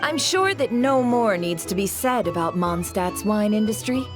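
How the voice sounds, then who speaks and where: A woman playing a suave, scheming intelligence broker in a video game, with smooth, sly, measured and theatrically polite delivery. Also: mid-range voice, expressive, lightly acted. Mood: grand announcing. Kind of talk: video game cutscene acting. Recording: background music behind voice.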